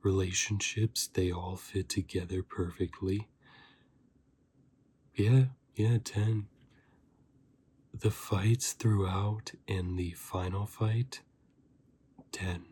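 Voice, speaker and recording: A man giving a softly spoken ASMR review as a host, breathy and often slipping into a whisper, chatting informally and rambling like a fan. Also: sits low, fairly even intonation, slow, long pauses, a little hiss or hum. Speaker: host of an anime review channel.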